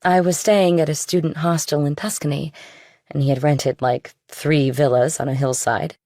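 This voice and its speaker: A woman's voice: deep voice, steady tone, moderate pace, mellow, dry recording, studio quality. A woman playing a young, worldly, guarded girlfriend in an audiobook, speaking casually and directly. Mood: breezy. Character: young worldly girlfriend of a rich older man, guarded, pointed. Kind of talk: audiobook character dialogue.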